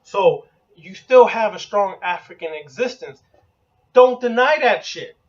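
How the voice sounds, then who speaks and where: Brief: high-voiced man, preachy and profane, muffled lo-fi recording. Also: lively intonation, a little slow, distant mic. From Puerto Rican heritage. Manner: blunt, profane, preachy, rhetorical questions. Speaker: occult teacher. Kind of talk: profane lecture.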